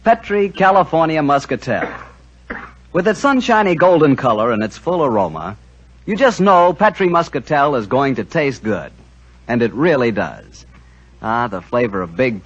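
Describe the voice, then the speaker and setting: Folksy radio announcer, husky. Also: male voice, medium pitch, very animated, moderate pace. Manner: smooth, folksy, persuasive. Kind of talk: scripted radio ad read. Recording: dull old radio broadcast.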